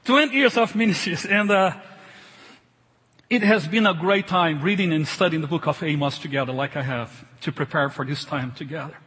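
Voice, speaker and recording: A middle-aged man with a foreign accent, not a native English speaker, preaches a sermon as a pastor in an emphatic, halting way, repeating phrases. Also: a little high, a bit bright, husky. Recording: reverberant large hall.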